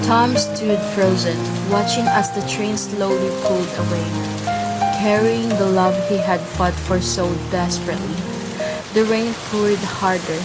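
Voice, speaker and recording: A woman reading a scripted, dramatic story as its narrator. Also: fairly low pitch. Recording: loud music and rain behind.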